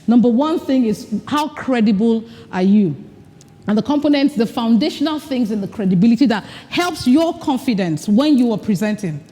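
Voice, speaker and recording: A woman's voice: a little high, expressive, moderate pace, warm tone, in a large hall. A woman leading a live workshop, speaking directly to her audience and coaching them through exercises. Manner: direct, coaching, acts out mock dialogue. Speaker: speaker at a women's leadership retreat. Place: large event hall with an audience. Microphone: recording mic, not amplified.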